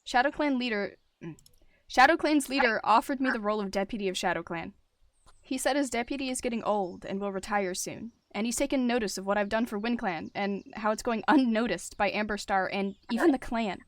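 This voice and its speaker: A woman's voice: medium pitch, a little fast, no echo, lightly acted. A woman voices a big, brooding warrior tomcat in an animal roleplay. He growls and mutters with bitter intensity. The sound is close and dry. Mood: earnest, hushed. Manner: growling, snarling, muttering delivery. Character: brooding, bitter male warrior cat deputy, large tom.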